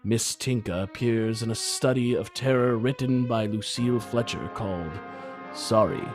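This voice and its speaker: A man giving a theatrical old-time radio introduction as announcer, dramatic, deliberate and ominous. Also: mid-range voice. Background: music.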